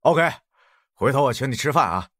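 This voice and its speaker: A man's voice: mid-range voice, expressive, moderate pace, fairly bright tone, breathy edge, no echo. A man playing a chummy, curious young casino coworker in an audiobook drama, casual and inquisitive. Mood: curious, friendly. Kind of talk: Mandarin audiobook drama acting.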